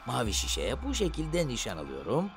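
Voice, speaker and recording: An actor playing a pushy, impatient street-tough gangster in dubbed film dialogue. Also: male voice, medium pitch, wildly swooping pitch, fairly quick. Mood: cocky, instructing. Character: bossy, impatient male gangster teaching a robot to shoot. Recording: film soundtrack with music behind.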